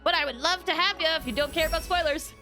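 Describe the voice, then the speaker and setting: A woman livestreaming a game, chatting casually with viewers in a bubbly, expressive way as she thinks aloud. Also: very high voice, fast talker. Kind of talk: casual gameplay chat with viewers. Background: game music.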